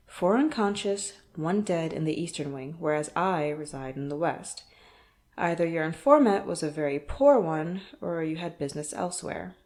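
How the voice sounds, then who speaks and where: A woman playing a smug, menacing crime boss in an audio roleplay, speaking deliberately and tauntingly with cool politeness. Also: fairly low pitch, a little mellow. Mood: stern, suspicious. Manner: deliberate, taunting, coolly polite with threatening undertone. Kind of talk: audio roleplay acting, addressed to the listener.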